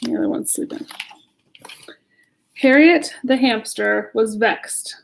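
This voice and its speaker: A woman's voice: fairly high pitch, slow pace, gravelly, low-quality recording. A woman casually reading a children's story at home in a chatty, giggly manner, often breaking off to talk to her listeners.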